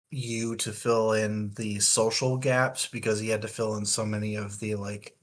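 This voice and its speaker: A man's voice: on the low side, fairly bright tone. A man co-hosting a casual book discussion, thinking aloud in long, winding points. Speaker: podcast co-host.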